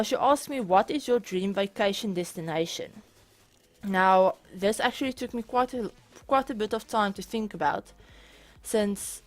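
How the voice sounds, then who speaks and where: A young woman with a South African accent, chatting through viewer questions in a casual gaming vlog, with frequent restarts and small laughs. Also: mid-range voice. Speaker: gaming YouTuber. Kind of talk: casual Q&A over a speed build.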